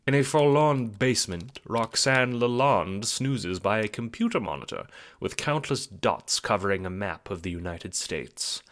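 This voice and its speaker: A man's voice: fairly low pitch, animated, measured pace, a bit bright. A man narrating a scripted audio drama in a theatrical, wry, rhetorical storytelling style. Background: music.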